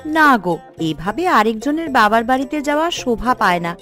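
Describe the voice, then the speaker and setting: A high-voiced village housewife, acted by a woman for a cartoon tale, proud and sharp-tongued. Her delivery swings in pitch and stresses her points, with music underneath. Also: big pitch swings, moderate pace. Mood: resigned. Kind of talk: animated moral story dialogue.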